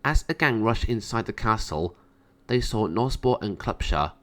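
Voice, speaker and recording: A man's voice: on the low side, animated, moderate pace, a bit bright. A man reading scripted narration from a children's story aloud. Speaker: storybook reader.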